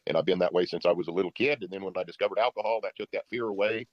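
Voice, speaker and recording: A middle-aged man speaks in a low voice at a very rapid pace. He is confiding personal matters as a prospect over dull, muffled call audio. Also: no echo. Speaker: sales prospect on a coaching call. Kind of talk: confessional personal conversation. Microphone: recorded online or phone call.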